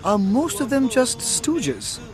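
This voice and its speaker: An actor playing a cool, sceptical detective with an Indian accent, asking probing questions in a radio drama. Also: male voice, very high-pitched, very animated, slow pace, music in the background, heavily acted. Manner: dry, probing.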